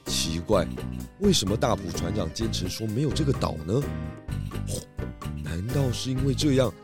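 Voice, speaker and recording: Casting: measured, sentimental pig sleuth for a kids' audio mystery, music underneath. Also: male voice, on the low side, lively intonation. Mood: pondering. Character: pig detective, calm, deliberate, dryly sentimental man. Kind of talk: children's audio detective drama acting. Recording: music behind the voice.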